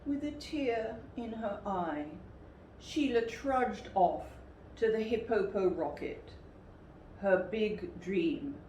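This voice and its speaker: A woman reading a picture book to children as a storyteller, with deliberate, dramatic emphasis. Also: on the low side, darkish tone. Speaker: storyteller, teacher. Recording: roomy echo, faint background noise.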